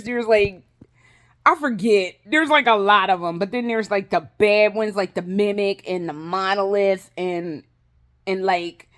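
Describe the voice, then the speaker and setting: A woman vlogging in a casual, rambling way, swearing freely, laughing often and restarting her sentences. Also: fairly high pitch. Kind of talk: casual rambling vlog chat.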